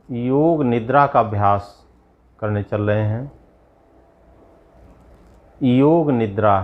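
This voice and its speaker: A man guiding a yoga nidra meditation as a yogi, giving measured instructions in short, spaced phrases. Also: on the low side, muffled recording. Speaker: yogi leading guided meditation.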